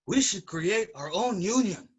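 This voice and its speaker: Migrant farm worker and labor ally played by a man, high male voice, earnest and declarative stage reading. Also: animated, measured pace, breathy edge, heavily acted. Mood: earnest, resolute.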